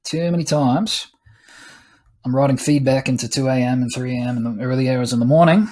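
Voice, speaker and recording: Chatty male teacher, husky voice. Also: medium pitch, brisk, darkish tone. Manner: casual, chatty explaining with small laughs and asides. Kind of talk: screen-recorded lesson walkthrough.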